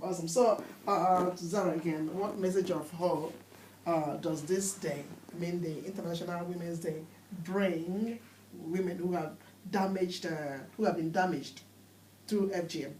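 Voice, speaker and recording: A woman radio host with a slightly low voice, recorded in an echoey room. She runs a discussion, asking questions and interjecting agreement, a little far from the mic. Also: steady tone, moderate pace, darkish tone. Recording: roomy, distant mic, faint noise.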